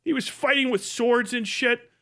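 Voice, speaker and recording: A man with an extremely high, bright voice hosts a livestream in casual, ranting style. He swears freely and tells mocking, exaggerated stories, often laughing as he talks. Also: steady tone, normal speed, gravelly. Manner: profane; laughs often while talking. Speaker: livestream host. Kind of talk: casual comedic rant.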